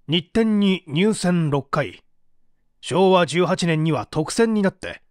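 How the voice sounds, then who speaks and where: Radio drama prosecutor , played by a man: male, fairly high-pitched, courteous but probing, measured interrogation delivery, very dry sound. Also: dry recording. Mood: stern questioning. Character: composed, formal male public prosecutor questioning a suspect. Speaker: voice actor reading a radio drama. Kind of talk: dramatized mystery reading.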